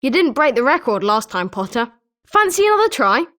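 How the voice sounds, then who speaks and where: A woman's voice: sits high, big pitch swings, a little fast, a bit bright. A woman with a British accent voicing an eager, sporting schoolboy wizard with chirpy, emphatic delivery in a video game. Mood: encouraging. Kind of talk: scripted video game character lines.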